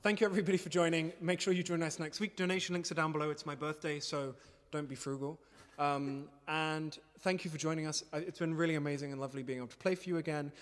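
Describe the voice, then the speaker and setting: Higher-voiced man hosting casually. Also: steady tone, fast talker, few pauses. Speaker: musician hosting a livestream concert. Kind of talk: casual chat between songs, addressing online viewers. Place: living room.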